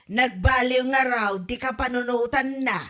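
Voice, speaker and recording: A woman's voice: a little high, a little slow, bright, forceful tone. A woman acting a concerned, principled village mother in an Ilocano comedy drama, with an Ilocano accent. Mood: disapproving.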